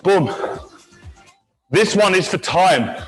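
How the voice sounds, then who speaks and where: A man working as a fitness instructor, leading a live high-intensity class with encouraging talk, countdowns and short barked cues. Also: lively intonation, slow, fairly bright tone. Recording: music playing behind the voice.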